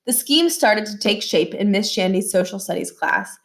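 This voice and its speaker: A woman's voice: mid-range voice, animated, normal speed, vocal fry, fairly bright tone. A woman reads a children's book aloud in a storytelling style and acts out the dialogue. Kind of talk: read-aloud storytelling with brief explanatory asides.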